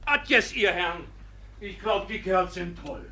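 High, bright-voiced servant messenger. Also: male voice, lively intonation, unhurried, heavily acted. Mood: brisk. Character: plain-spoken servant bringing messages for a dignitary. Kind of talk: classic German stage comedy as radio play.

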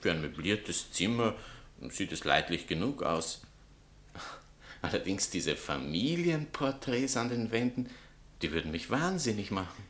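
An actor playing a vain, sardonic bohemian writer and spurned lover, pompous and mocking, in a satirical radio play. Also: male voice, lowish voice, animated, unhurried, fairly bright tone. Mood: sardonic, nostalgic. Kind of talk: theatrical literary satire dialogue.

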